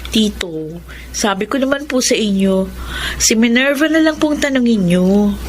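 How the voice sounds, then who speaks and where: A playful, teasing young Filipino student and confidante in a radio drama. Her voice sits fairly high. There is faint noise on the recording. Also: animated, measured pace. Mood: evasive.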